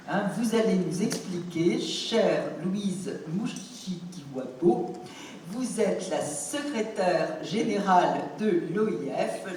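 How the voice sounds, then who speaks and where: Lively formal woman moderator, echoey hall. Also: on the low side. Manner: formal, expressive, with rising emphases. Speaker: moderator of a public interview. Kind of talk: formal stage interview. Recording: echoey hall, distant mic, faint noise.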